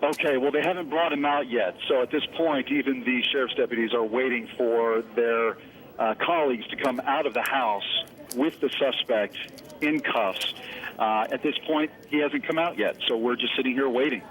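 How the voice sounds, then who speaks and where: An American man with a medium-pitched voice reports live from a scene over the phone. He describes what he sees as an eyewitness field reporter. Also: moderate pace, a bit bright, rough recording.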